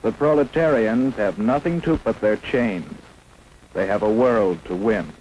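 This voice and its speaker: A man's voice: on the low side, unhurried, darkish tone. American man narrating a noisy old propaganda film. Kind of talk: mid-century propaganda documentary narration, scripted.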